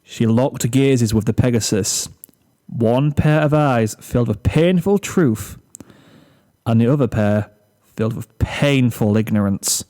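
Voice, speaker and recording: A man's voice: medium pitch, measured pace, mellow. A man reading a comic story aloud as scripted narration.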